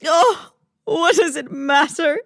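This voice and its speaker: A woman's voice: sits high, slow pace, creaky, fairly bright tone, no echo, studio quality, full character voice. A woman playing a distraught, melodramatic widow in an old-time radio detective drama. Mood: distraught, pleading. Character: melodramatic, distraught noir widow, breathlessly sincere.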